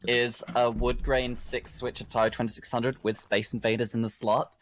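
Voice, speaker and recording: A man's voice: medium pitch, flat delivery, moderate pace, a little hiss or hum, rough recording. A man chatting casually in an emphatic, sweary way. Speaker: stream guest. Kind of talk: casual chat. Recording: voice chat with music behind. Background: music.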